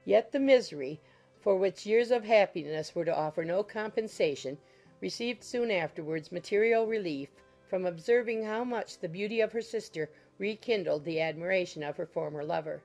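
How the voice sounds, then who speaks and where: Lower-pitched woman narrating a classic novel. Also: moderate pace, a little mellow. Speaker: audiobook narrator. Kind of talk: scripted literary narration. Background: music.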